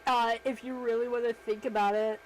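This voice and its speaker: A woman's voice: a little high, flat delivery, a little fast, a little mellow, a little hiss or hum, rough recording. A woman streamer chatting casually while she works, rambling and self-deprecating. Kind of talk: casual livestream chat while working.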